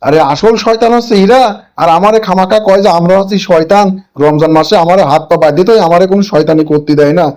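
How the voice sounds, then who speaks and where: A man's voice: high-pitched, fast talker, darkish tone, lightly acted. A man voicing a cheeky, indignant older devil in a comic cartoon, ranting in colloquial exclamations. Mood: amazed, indignant.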